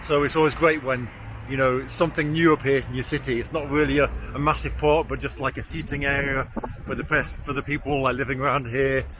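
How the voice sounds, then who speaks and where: A man's voice: mid-range voice, level tone, brisk, a bit bright. A man of forty with a weak R, chatting casually as he vlogs, blunt and self-deprecating with easy swearing. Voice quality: cannot roll or pronounce R clearly. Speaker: vlogger. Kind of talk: casual walk-and-talk vlog. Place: outdoors on city streets. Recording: outdoor city and traffic noise.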